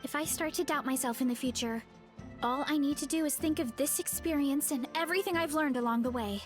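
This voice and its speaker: A woman playing a sweet, modest, earnest young woman in an anime game, speaking in a gentle, hesitant way. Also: high voice, fairly quick, natural voice. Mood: hopeful. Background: game music.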